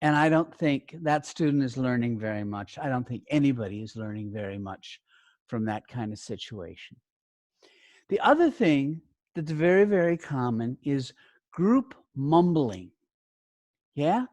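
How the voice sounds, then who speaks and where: A man giving an instructional lesson as a teacher trainer, speaking deliberately in short phrases and often checking in with brief questions. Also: medium pitch, big pitch swings, a little mellow, dry recording. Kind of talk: instructional talk to learner teachers.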